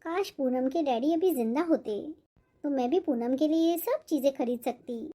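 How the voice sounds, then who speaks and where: Woman voicing a humble, high-voiced worried mother. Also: normal speed, dark tone, close to an everyday voice. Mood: wistful. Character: humble, worried widowed middle-aged mother. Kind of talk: Hindi animated moral story dialogue.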